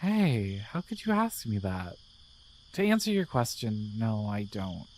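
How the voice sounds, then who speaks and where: A man playing a gentle, devoted guardian angel in a romantic ASMR roleplay, speaking in a tender, hesitant, reassuring way. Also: lowish voice, big pitch swings, measured pace, a little hiss or hum. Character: gentle, devoted male guardian angel in love with his human.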